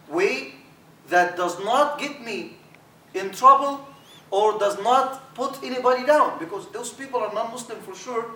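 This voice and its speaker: A middle-aged man with an Arabic accent, an imam teaching a training workshop, animated and repeating words as he talks, with Arabic fillers. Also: high-pitched. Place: university lecture room. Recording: echoey room, distant mic, faint hiss.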